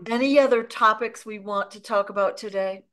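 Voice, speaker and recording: Female host, middle-aged, medium pitch, informal interview chat on a video call. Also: a little fast, fairly bright tone. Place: room at home, on a video call. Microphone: computer mic on a video call.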